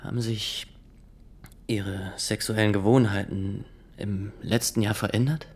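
A zealous inspector of nineteen in a radio drama. His voice sits low for a man. He speaks formally, pressing his questions with an insistent yet halting delivery. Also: fairly even intonation. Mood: clinical, insistent. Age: young adult. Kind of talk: German radio drama acting.

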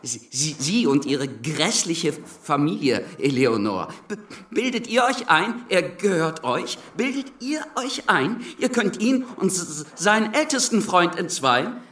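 A man's voice: high-pitched, sing-song, measured pace, a bit bright, far from the mic, exaggerated voice. An actor playing an agitated, scornful man who stammers as he mocks someone in a radio drama. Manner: stammering, mocking. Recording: echoey room, off-mic.

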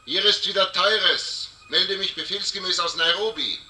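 An actor in a radio play playing a teasing, mock-formal male caller heard over a telephone loudspeaker. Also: high-pitched, moderate pace. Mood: mock-dutiful. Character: teasing, cocky man calling from far away. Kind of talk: German radio play acting. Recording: distant, echoey phone loudspeaker.

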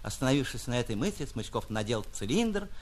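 A man narrating a literary short story like a stage actor, theatrical, with drawn-out emphasis and dramatic pauses. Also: mid-range voice, sing-song.

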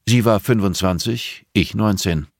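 A man voicing a composed, middle-aged blind teacher in an audiobook, patient and measured, with a dryly pointed way of explaining. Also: fairly low pitch, creaky, no room sound, studio quality, voice pushed up. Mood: calm, reflective. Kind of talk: audiobook character dialogue.

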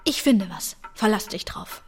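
An actress playing a practical, headstrong yet tender young working-class wife in a radio play, speaking directly and matter-of-factly. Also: female voice, mid-range voice, lively intonation, unhurried, slight background noise. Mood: indignant, determined.

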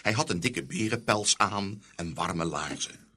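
Deep, lively male storyteller. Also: unhurried, husky. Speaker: narrator. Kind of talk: expressive storybook narration.

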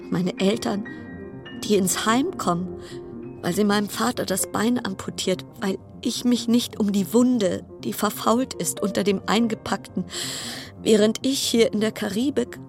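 Wry, anxious stranded woman, music underneath. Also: fairly high pitch. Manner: literary storytelling, wry, broken-off sentences. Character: weary woman passenger stranded on plague-stricken cruise ship, wry, anxious. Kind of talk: radio drama monologue.

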